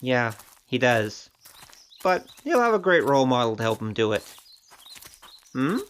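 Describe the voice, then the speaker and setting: A loyal wandering fighter with a taste for gambling, played by a man in an audio drama. His voice sits at a medium pitch, casual and wry but sincere underneath. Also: big pitch swings, measured pace, a little hiss or hum. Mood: wry, reflective.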